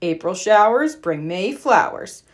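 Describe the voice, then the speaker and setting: A woman voicing a calm, reassuring father turtle in a children's storybook. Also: mid-range voice, a little slow.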